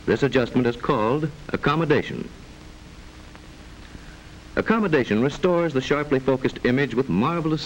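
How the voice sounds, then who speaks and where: A man narrating a mid-century educational film in a formal, measured, didactic way with precise enunciation, on an old film soundtrack. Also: mid-range voice, slight background noise, rough recording.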